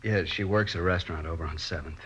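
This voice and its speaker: A man playing a bewildered, protective middle-aged father in a radio drama, plain-spoken and defensive, with a husky voice. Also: low-pitched, quick pace, a bit bright, a little hiss or hum. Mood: subdued, cooperative. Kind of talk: 1950s radio drama acting. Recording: muffled old-time radio recording.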